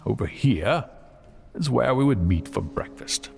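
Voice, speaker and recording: A man playing a wise old male dragon mentor in an audio drama, speaking in a measured, fond, halting way. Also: medium pitch, expressive, gravelly, over music. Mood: fondly nostalgic.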